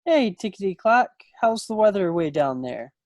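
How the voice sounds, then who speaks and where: A man's voice: sits high, very animated, moderate pace, no echo, lo-fi sound. Young 1940s small-town guy played by a man, playful and folksy, eager suitor, dry close sound, stage-play read. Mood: teasing, fond. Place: at home on a video call. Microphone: computer microphone on a video call.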